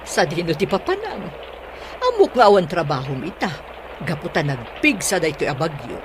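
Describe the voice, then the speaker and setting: A woman playing a sharp-tongued, scheming middle-aged mother-in-law in an Ilocano-accented radio drama, sarcastic and exclamatory. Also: on the low side, very animated, moderate pace, noisy background. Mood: concerned.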